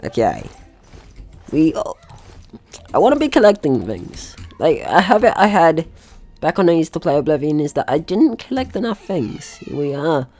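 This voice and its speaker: Young man with lively, swinging pitch. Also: normal speed. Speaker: gaming video host. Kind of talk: casual let's play commentary.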